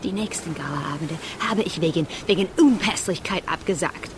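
An actress playing a flirtatious, capricious, mocking celebrity singer in a radio drama. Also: female voice, lowish voice, normal speed, noisy background, close to an everyday voice. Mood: affronted, dramatic. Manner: theatrical, mocking. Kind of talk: theatrical radio drama acting.

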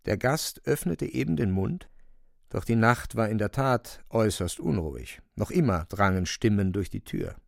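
An actor narrating a literary radio drama as a grave storyteller, measured and dramatic. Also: male voice, lowish voice, very animated, gravelly, no room sound, clean studio sound. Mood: grave, suspenseful.

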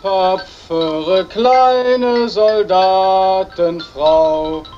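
Dull recording of man declaiming slowly. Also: high-pitched, darkish tone, soft background music. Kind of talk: slow spoken performance with musical accompaniment.

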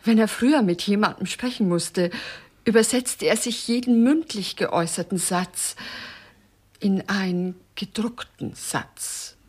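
An actress playing a protective wife in a radio drama, explaining things in a matter-of-fact way. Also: female voice, medium pitch, measured pace, darkish tone, husky. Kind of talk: German radio drama acting.

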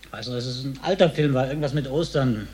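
A man's voice: medium pitch, fast talker, darkish tone, close to an everyday voice. A man playing a rattled, defensive young murder suspect with plain street talk in a German radio crime drama.

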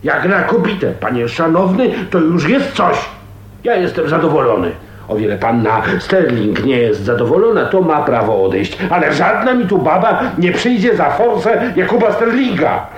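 Rough, rustic older man, animated and roomy. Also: a little high, moderate pace. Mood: defiant, mocking. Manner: folksy, blustering, mock-deferential. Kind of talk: Polish radio drama acting. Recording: roomy echo, faint noise, 1980s radio drama.

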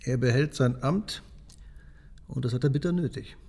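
A man's voice: mid-range voice, moderate pace, vocal fry, warm tone. A man acting in a German radio drama as a shrewd, bitter member of parliament with a dry, knowing manner. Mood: bitter, mocking.

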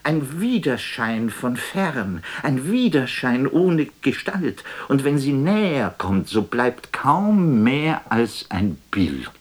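An actor playing a melancholy, lonely poet in a literary radio drama, speaking in a solemn, introspective and theatrical way. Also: male voice, mid-range voice, big pitch swings, takes it slowly, few pauses. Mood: yearning.

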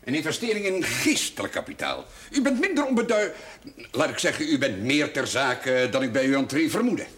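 A man's voice: medium pitch, wildly swooping pitch, a little fast, fairly bright tone, a little hiss or hum. An older man playing a pompous, sardonic, shrewd village notary and moneylender in a television drama, speaking in formal, ironic phrases. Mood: dry, mocking. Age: older, over 50. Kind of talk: theatrical television drama dialogue.

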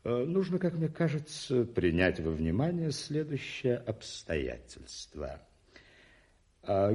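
A sly English club gentleman in a radio play. The voice is low and deep with lively swoops, deferential yet pompous and full of careful insinuation. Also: exaggerated voice.

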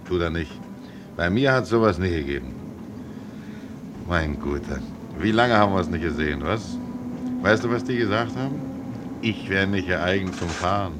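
Actor as deep-voiced, wistful old tram driver. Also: male voice, lightly acted. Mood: gleeful, fond. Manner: chatty, affectionate, sentimental muttering to himself. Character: proud, wistful old ex-tram driver, stubbornly in love with his tram. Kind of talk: German radio play acting. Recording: clearly noisy, tram rumble behind the voice. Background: vehicle and ambience noise.

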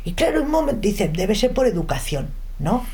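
A woman's voice: mid-range voice, big pitch swings, moderate pace, a little mellow, slight background noise. A woman in her seventies with a Madrid Spanish accent, recalling her life emphatically in an interview. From Madrid, Spain. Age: 70s. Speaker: interview guest. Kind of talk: casual reminiscence in an interview. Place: indoors at home.

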